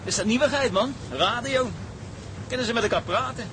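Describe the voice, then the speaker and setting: Easygoing, high-voiced seaman. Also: a little fast. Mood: matter-of-fact. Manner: colloquial, chatty. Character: easygoing engine-room seaman, chatty and good-humoured. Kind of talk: naturalistic Dutch drama acting. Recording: clear rumble and outdoor ship noise.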